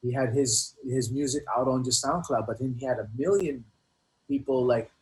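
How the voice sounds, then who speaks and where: A man speaking casually as a music interview guest, in halting, repetitive phrases with many filler words. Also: mid-range voice, rough recording. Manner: halting, repetitive phrasing with frequent "you know". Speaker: guest hip hop artist.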